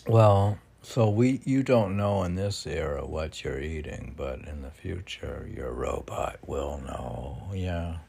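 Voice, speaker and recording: Very low, gravelly man reading his poems. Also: expressive, darkish tone. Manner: self-deprecating, halting, talks back to himself. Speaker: poet reading and commenting on own poems. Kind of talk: poem reading mixed with rambling self-commentary.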